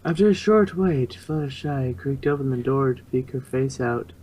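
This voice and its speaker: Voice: medium pitch, normal speed, dark tone, low-quality recording. A narrator reading a story aloud in an amateur fan fiction reading.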